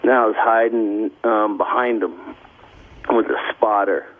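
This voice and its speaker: A man's voice: fairly low pitch, steady tone, measured pace. A man calling into a radio show, rambling and bragging with lots of fillers. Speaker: caller. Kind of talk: phone call into radio show. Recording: tinny phone line.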